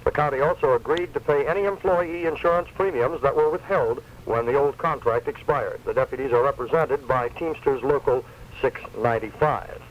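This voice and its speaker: A man's voice: mid-range voice, normal speed, few pauses. A man reading scripted news as a radio newscaster. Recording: dull lo-fi AM radio cassette recording, faint noise.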